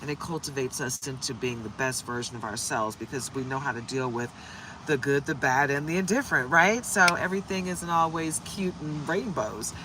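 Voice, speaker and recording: A hoarse woman from the United States doing a casual, upbeat tarot livestream and greeting viewers by name. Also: very deep voice, moderate pace, noisy background. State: hoarse from shouting. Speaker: tarot reader.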